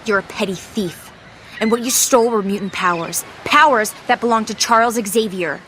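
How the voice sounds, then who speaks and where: A woman's voice: medium pitch, moderate pace, fairly bright tone, a little hiss or hum, natural voice. An actress playing a determined young female mutant heroine, speaking in a defiant, urgent way in a video game cutscene. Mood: confrontational.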